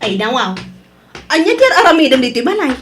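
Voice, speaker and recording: A woman plays a stubborn village wife from a radio serial. Her voice is very high and highly animated, with an Ilocano Filipino accent and a huffy, insistent delivery that brooks no argument. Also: a little fast. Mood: indignant. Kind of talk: Ilocano radio drama acting.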